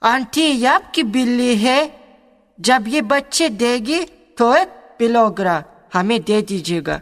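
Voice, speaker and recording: A woman's voice: a little high, expressive, takes it slowly. A woman playing a small, plump schoolboy in a radio drama. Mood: eager, curious. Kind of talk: Urdu radio drama acting. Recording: reverberant archival radio recording.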